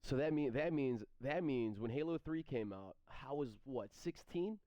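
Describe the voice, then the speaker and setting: A man in his thirties, streaming and rambling through questions from chat, halting and repeating his words as he goes. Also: medium pitch, expressive, dark tone, no room sound. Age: 30s. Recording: dry, slightly dull stream mic audio.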